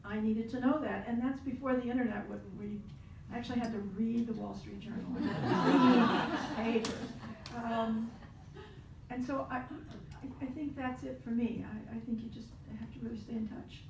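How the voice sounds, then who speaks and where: A woman's voice: a little high, fairly even intonation, normal speed, noisy background. A woman in her seventies speaking reflectively as a panelist, with some restarts. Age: 70s. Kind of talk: panel discussion. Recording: roomy hall audio from far off.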